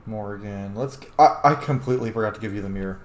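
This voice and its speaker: A man's voice: on the low side, fast talker. A man giving casual commentary over a game playthrough, chatty and thinking aloud. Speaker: gaming host. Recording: roomy echo, faint game noise.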